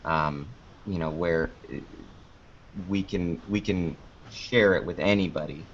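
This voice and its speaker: A man's voice: sits low, unhurried, slight background noise. A man giving casual, rambling interview answers as a guest, informal and often interrupting himself mid-sentence. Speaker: interview guest, podcast creator. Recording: dull lo-fi online call audio.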